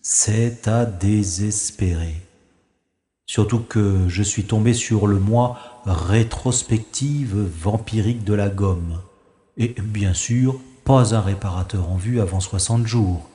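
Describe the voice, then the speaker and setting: A man's voice: on the low side, slow, mellow, husky. A man acting a bored, cynical special agent in a science-fiction audio drama, with sardonic, world-weary complaining. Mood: bored, grumbling.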